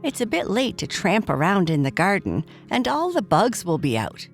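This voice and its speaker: A woman voicing a gentle, reassuring but sceptical mother in a children's bedtime story. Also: mid-range voice, huge pitch swings, moderate pace, soft background music. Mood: doubtful.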